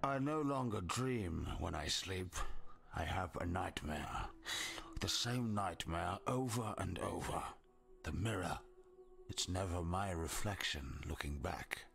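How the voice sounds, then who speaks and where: A haunted, gravelly, deep-voiced exile. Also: male voice, expressive, fairly bright tone, soft background music, full character voice. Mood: haunted, bitter. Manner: slow, morbid, brooding monologue. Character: haunted, tormented male exile, bleak and brooding. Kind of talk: scripted video game character dialogue.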